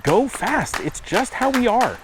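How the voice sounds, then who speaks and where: A middle-aged man from upstate New York with a Buffalo-Cleveland accent, talking fast in a casual vlog while walking outdoors on a windy street. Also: very high voice, very animated, a crowd in the background. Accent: Buffalo-Cleveland American. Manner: fast-talking, trying to slow down. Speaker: vlogger.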